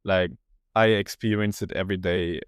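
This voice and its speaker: Young man, low dry voice. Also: steady tone, normal speed, smooth, no echo. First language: German. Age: young adult. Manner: thoughtful, searching for words. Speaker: guest researcher. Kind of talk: casual podcast conversation.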